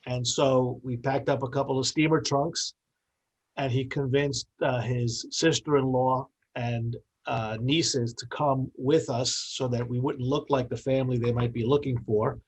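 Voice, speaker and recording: A mature man speaks as a guest in a radio interview at a medium pitch. He explains things in an articulate, measured manner, telling his story conversationally with small pauses. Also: steady tone, husky, low-quality recording. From born in the Dominican Republic, grew up in New York. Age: middle-aged or older.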